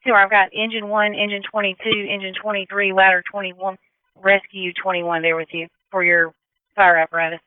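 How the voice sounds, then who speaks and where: A female dispatcher talks quickly and evenly over a thin, phone-like radio channel. She sounds crisp and businesslike as she relays units and confirms details in emergency traffic. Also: mid-range voice, flat delivery, no room sound. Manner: businesslike, reads back information. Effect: two-way radio transmission.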